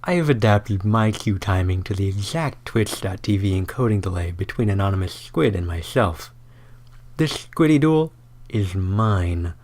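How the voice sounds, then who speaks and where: A man's voice: lowish voice, expressive, moderate pace, a little mellow. A man playing a smug, taunting villainous gamer rival in a story reading, sly and goading.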